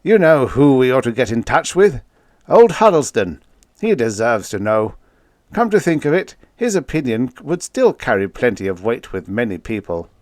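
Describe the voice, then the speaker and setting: A man's voice: medium pitch, sing-song, normal speed, darkish tone, no room sound, studio quality, voice pushed up, natural voice. A man voicing a genial, avuncular elder president in an audiobook, with an emphatic, hearty manner. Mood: earnest, upbeat. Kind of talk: audiobook character acting.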